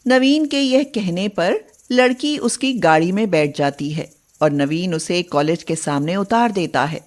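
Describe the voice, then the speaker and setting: A woman's voice: fairly low pitch, a little slow. A woman reading scripted storybook narration as the narrator of a tale.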